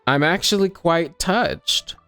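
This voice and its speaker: Game streamer: unhurried, smooth voice, wry casual reactions, some swearing, faint music behind. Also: mid-range voice, fairly bright tone. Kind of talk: casual playthrough commentary.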